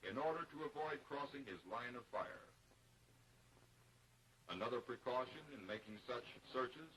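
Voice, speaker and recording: Male narrator, American accent, mid-pitched. 1940s training film delivery: formal, measured, instructive. Dated, distant film sound. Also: a bit bright.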